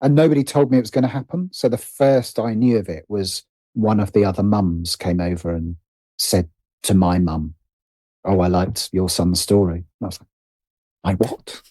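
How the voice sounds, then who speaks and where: Mellow British man, thinking aloud. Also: low-pitched, fairly even intonation, moderate pace, dry recording, rough recording. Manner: hesitant, self-interrupting, thinking aloud. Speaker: interview guest, writer. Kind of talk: conversational personal storytelling.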